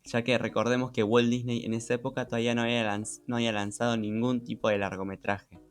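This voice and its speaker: A man with a Rioplatense Argentine accent talks casually as a podcast co-host. His voice sits at an average pitch and his delivery is a little halting, with repeated words. There is faint music behind him. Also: little pitch movement.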